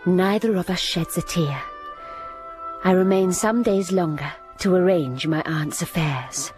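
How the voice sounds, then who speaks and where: An earnest, restrained young governess, played by an actress, who also narrates the story in a radio drama. Her measured, unhurried voice sits over faint music. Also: lowish voice, close to an everyday voice. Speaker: narrator and heroine. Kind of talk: radio drama acting and first-person narration.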